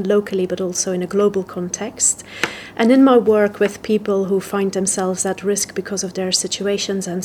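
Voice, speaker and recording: Even-toned woman, formal and articulate. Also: mid-range voice, a little hiss or hum. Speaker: public mental health researcher. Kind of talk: prepared testimonial.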